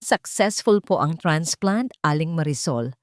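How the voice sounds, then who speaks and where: Audiobook role: weary doctor, formal, gentle, deliberate, read by a woman, dry close sound. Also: deep voice, expressive, no echo. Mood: reassuring. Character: tired, reassuring doctor giving news.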